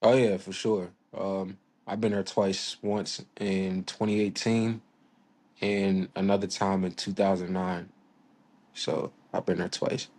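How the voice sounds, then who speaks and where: A man's voice: sits low, level tone, slow pace. A man in his twenties from Virginia, a musician talking casually in an interview, laid-back and full of fillers. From Virginia, United States. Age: 20s. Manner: laid-back, many fillers, soft-spoken. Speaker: musician guest.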